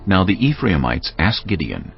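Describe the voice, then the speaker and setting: Very deep, gravelly male scripture narrator. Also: very animated, normal speed. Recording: dull audio with music underneath. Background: music.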